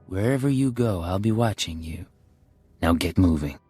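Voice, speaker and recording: An actor playing a cool, quietly menacing spy in a video game, with a husky voice and measured, controlled delivery. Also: male voice, low-pitched, lively intonation, faint music behind. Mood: cool, firm. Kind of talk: dramatic video game acting.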